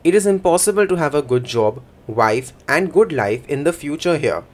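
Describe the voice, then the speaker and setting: A man voicing a first-person interpretation for a signing speaker in a plain, measured way. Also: on the high side, expressive.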